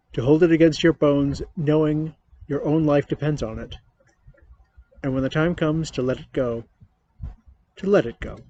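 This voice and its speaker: Mellow higher-voiced man, dull recording. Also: slight background noise. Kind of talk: dramatic poetry reading.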